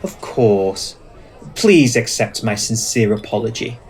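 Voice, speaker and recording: A man's voice: on the low side, lively intonation, slow pace, darkish tone. A man playing a courtly, passionate, dominant vampire, speaking in formal, poetic, theatrical phrases for an ASMR roleplay.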